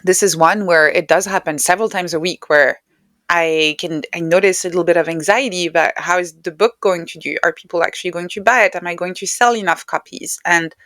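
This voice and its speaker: A woman who is not a native English speaker talks as a podcast guest, in a reflective, conversational way. Her voice sits a little low for a woman. The sound is very dry, with no room around it. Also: a little fast, fairly bright tone, no echo. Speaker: podcast guest, writer and neuroscientist.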